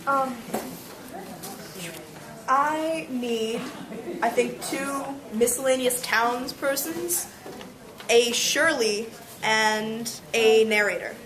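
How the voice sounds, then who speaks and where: High-voiced woman in an echoey room. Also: very slow, long pauses. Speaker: club member recruiting volunteers. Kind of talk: casual announcement to a group. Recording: echoey room with crowd chatter.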